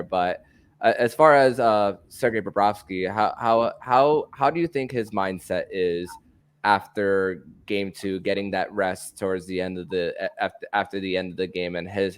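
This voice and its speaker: A man with a lowish voice hosts a sports podcast. He asks his questions in a halting, casual way and often restarts with fillers. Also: clean tone. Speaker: podcast host.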